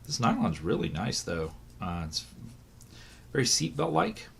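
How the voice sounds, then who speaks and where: A hoarse man, voice still recovering from illness, chatting casually through a product unboxing, thinking aloud with fillers and corrections. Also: mid-range voice, wildly swooping pitch, a little slow, a little hiss or hum. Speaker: watch reviewer.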